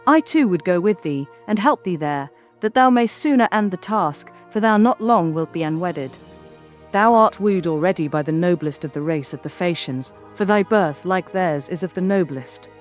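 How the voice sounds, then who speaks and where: A woman playing a goddess disguised as a young maiden, gently chiding in formal, archaic verse over music. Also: on the low side, lively intonation, normal speed, close to an everyday voice. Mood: gently urging. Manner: stately, archaic diction. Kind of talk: formal verse audio drama.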